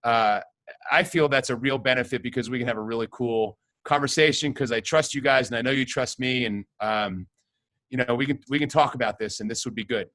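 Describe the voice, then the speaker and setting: Give me a middle-aged man hosting a relaxed chat with longtime friends. He talks in a hesitant way, full of restarts and fillers, in a mid-range voice. The sound is dry and close, with no room around it. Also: mid-range voice, brisk, no room sound. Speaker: host. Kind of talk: casual conversation with old friends.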